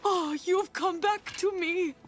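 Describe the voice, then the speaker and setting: An actress playing an affectionate, blunt and loyal friend, drawing out words with emotion in a video game. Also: female voice, extremely high pitch, slow pace. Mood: relieved, tender. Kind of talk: dramatic video game acting. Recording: dramatic music under the voice.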